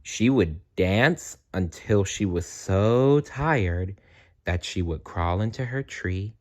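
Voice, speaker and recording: A man reads a children's storybook aloud at a very slow, unhurried pace. His slightly low voice moves up and down in an animated way. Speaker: storybook reader.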